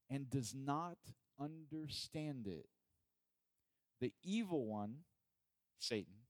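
A man preaching a sermon as pastor, who reads scripture slowly and deliberately and speaks to the congregation in a conversational way with joking asides. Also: mid-range voice, no room sound. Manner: slow, deliberate scripture reading.

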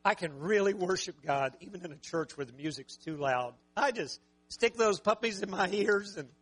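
Raspy-voiced high-pitched male preacher. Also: expressive, normal speed, fairly bright tone, soft background music. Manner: conversational, joking asides to congregation. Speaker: pastor. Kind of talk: sermon.

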